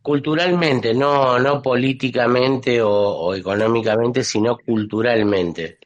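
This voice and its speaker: A man's voice: mid-range voice, measured pace, highs cut off. A man hosting an online discussion in an informal, questioning way. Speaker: host of an online audio discussion. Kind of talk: casual moderated political chat. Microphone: live online audio chat.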